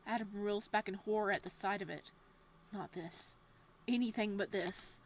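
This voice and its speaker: Woman reading horror text in a hush. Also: mid-range voice, moderate pace, slight background noise. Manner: hushed, steady narration. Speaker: let's play streamer reading a visual novel aloud.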